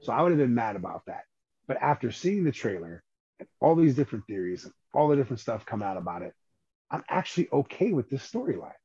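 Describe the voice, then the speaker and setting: A man's voice: mid-range voice, big pitch swings, quick pace, warm tone, slightly rough, muffled recording. A man co-hosting a horror podcast, chatting casually with many stammered restarts and casual swearing. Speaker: podcast co-host. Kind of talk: casual horror movie chat.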